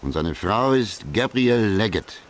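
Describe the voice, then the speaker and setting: An actor playing a dry, weary, wry private detective in a German radio crime drama, talking in terse, laconic lines. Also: male voice, fairly low pitch, animated, measured pace, slight background noise. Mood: brisk, matter-of-fact. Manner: laconic, terse, sardonic asides.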